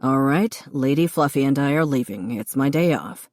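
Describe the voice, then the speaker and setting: A woman doing a gruff, dry-witted man. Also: extremely low pitch, animated, normal speed, a little mellow, dry recording. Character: grown man, dry, gruff, self-deprecating brother.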